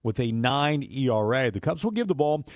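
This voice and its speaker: A man's voice: medium pitch, lively intonation, normal speed, darkish tone, no room sound, studio quality. A man hosting a baseball radio pregame show, reading scripted updates and sponsor spots. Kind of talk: scripted sports broadcast narration. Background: faint music.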